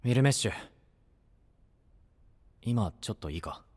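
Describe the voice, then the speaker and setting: A man's voice: on the low side, expressive, moderate pace, darkish tone. An actor playing a proud, awkward but kindhearted young man in an anime-style voice drama, blunt and easily flustered. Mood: awkward concern. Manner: blunt, rough casual speech, easily flustered.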